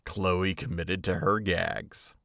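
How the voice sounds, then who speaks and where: A man narrating a story in a measured, reflective way. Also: sits low, fairly even intonation, creaky, dry recording, clean studio sound, full character voice. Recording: loud music bed under voice.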